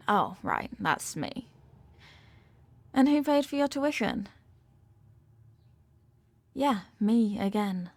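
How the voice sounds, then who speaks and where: A woman's voice: fairly high pitch, no room sound. Woman playing a possessive, condescending rich girlfriend. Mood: condescending, controlling. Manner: condescending, teasing, coaxing with veiled threats. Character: possessive, manipulative rich young girlfriend, sweetly menacing. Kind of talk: scripted intimate audio roleplay.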